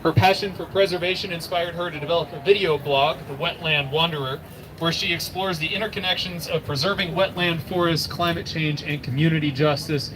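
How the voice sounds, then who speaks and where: A man acting as emcee at an outdoor rally, reading speaker introductions in a measured way. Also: on the high side, background noise. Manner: reading a formal bio, measured.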